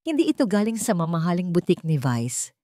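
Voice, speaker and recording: A woman's voice: lowish voice, big pitch swings, a little fast, warm tone, dry recording, clean studio sound. A woman giving a scripted, dramatic narration of a romance story.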